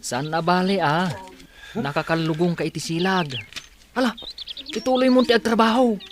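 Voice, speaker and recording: A man's voice: high-pitched, moderate pace, a little hiss or hum, lightly acted. A man acting a hardworking farm co-worker in a radio drama, bossy but friendly, with an urging manner. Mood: urging, scolding.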